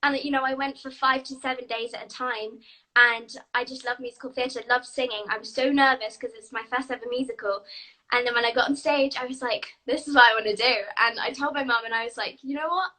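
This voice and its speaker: A woman's voice: high voice, steady tone, brisk, bright, forceful tone, poor audio. A young British girl actress, bubbly and eager, answering questions in a live video interview. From England. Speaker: guest actress.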